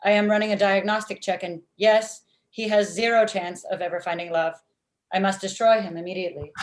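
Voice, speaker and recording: Deadpan female office robot, played by a woman. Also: medium pitch, fairly quick, close to an everyday voice. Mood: deadpan, judgmental. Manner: deadpan, stilted formal phrasing. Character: blunt, murderous female office robot, literal-minded. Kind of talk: comedy script table read. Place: indoor room at home, video call. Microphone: video call.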